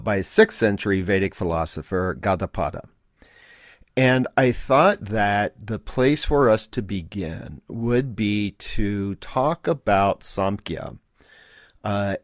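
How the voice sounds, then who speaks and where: A man's voice: on the low side, dry recording, clean studio sound. A man hosting a spirituality podcast, reading his intro and then asking questions with frequent ums and uhs. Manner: hesitant, many ums and uhs. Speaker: podcast host. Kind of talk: podcast interview.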